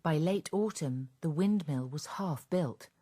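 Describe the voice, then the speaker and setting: Female narrator, very low pitch, dark mellow tone, measured storytelling, scripted radio drama. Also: animated.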